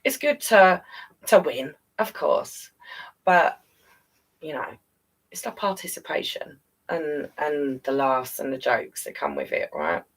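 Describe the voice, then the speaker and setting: A British woman chats on a live stream in a lowish, creaky voice with plenty of rise and fall. She is affectionate and encouraging, like a storyteller talking to her audience. She sounds a little way back from the microphone. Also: sing-song, slow, far from the mic. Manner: affectionate, encouraging, calls listeners darling and guys. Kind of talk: casual live-stream chat to viewers.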